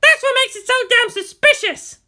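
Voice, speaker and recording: A man voicing a fiery, bossy teenage anime girl who scolds and shouts indignantly. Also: very high voice, moderate pace, voice pushed up, heavily acted. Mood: furious.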